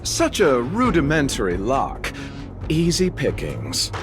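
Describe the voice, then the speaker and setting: A man's voice: medium pitch, huge pitch swings, slow pace, music in the background. A man voicing a smug, suave smuggler villain with a condescending manner, in video game acting.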